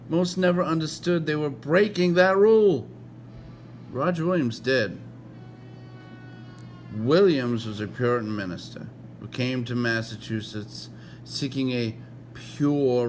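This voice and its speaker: American man reading aloud, with background hum. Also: medium pitch. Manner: halting delivery, stumbling and correcting himself. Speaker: read-along host reading a history book aloud. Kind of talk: informal read-aloud with casual asides.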